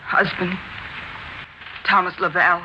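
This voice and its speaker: A woman's voice: lowish voice, little pitch movement, slow. An American woman playing a reserved witness at an inquest in an old radio drama, giving terse, subdued answers. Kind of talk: 1950s radio drama acting. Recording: dull old radio recording, clear hiss.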